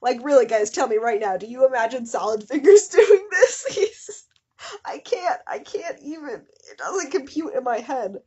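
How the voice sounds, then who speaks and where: A woman's voice: high-pitched, expressive, normal speed, a little mellow, husky, highs cut off. A woman hosting a comedy show, reading fan fiction dramatically with incredulous, giggly asides. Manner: breaks into giggles and incredulous commentary.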